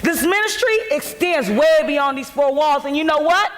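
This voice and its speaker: An actress in a gospel stage play, playing a devout, fed-up pastor's wife with an emphatic, preachy, reproachful delivery. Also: female voice, high-pitched, animated, moderate pace. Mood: fed up, angry. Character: fed-up, devout pastor's wife confronting her self-righteous husband. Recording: blown-out bootleg audio, echoing theater hall.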